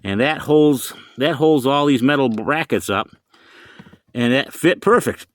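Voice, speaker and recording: A man's voice: mid-range voice, a little slow, no room sound. Middle-aged or older man rambling casually, dry sound. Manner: rambling, repeats himself. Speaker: hobbyist showing his CB radio. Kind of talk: casual vlog, informal demonstration. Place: inside a pickup truck.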